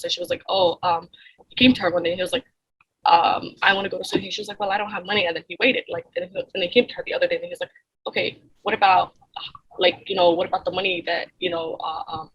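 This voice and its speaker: Young woman from kenya rambling on a video call, husky voice, tons of fillers. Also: fairly low pitch, flat delivery, quick pace, a bit bright, muffled recording. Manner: rambling, heavy fillers like "like" and "you know". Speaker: nonprofit founder, guest. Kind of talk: casual interview answers.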